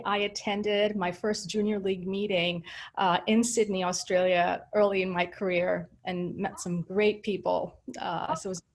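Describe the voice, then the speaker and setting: A woman giving a polite, careful professional self-introduction as a panelist. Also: medium pitch. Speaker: panelist, global marketer.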